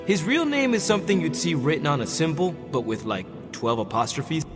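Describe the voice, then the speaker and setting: A man playing a cocky, excitable young video game hero with a casual, slangy way of talking. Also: a little high, brisk, in a large hall, over music, natural voice. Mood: easygoing. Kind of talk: video game cutscene acting.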